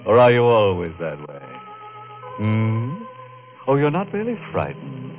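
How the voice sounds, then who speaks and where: An actor playing a sardonic, macabre host who mockingly teases listeners in a theatrical 1950s radio horror style. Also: male voice, fairly low pitch, huge pitch swings, unhurried. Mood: mocking, gleeful. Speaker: host and narrator of mystery show. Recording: muffled old radio recording over loud music.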